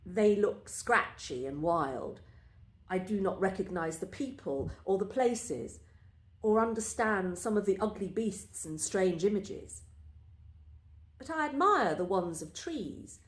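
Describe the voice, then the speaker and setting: A woman reading a first-person literary story aloud in a measured, deliberate storytelling manner. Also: medium pitch, lively intonation. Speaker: story reader. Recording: roomy, echoing space.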